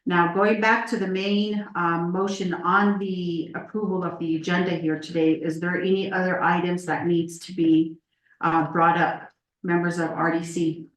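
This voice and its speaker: A woman chairs a formal committee meeting, guiding the agenda step by step with a somewhat low voice and frequent pauses for fillers. Her voice echoes around the meeting room. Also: flat delivery. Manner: measured, procedural, with frequent fillers.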